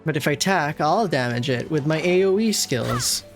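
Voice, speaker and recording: Man casually commentating, slightly high voice. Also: lively intonation, moderate pace, music in the background. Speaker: gaming streamer. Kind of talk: casual game playthrough commentary.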